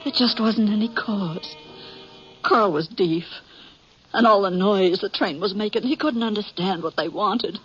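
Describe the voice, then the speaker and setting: An actress playing a grieving middle-aged American frontier widow in a radio western, speaking haltingly and tearfully. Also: female voice, medium pitch, soft background music, natural voice. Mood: grieving, distraught. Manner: halting, repeating herself. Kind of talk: old-time radio western acting. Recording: muffled vintage radio recording.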